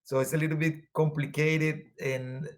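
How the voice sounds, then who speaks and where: A man with a Venezuelan Spanish accent talks as a guest in a conversation. His voice sits fairly high, and he speaks earnestly, pausing and restarting with fillers as he looks for words. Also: little pitch movement. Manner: earnest, halting, with frequent fillers. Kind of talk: conversational interview.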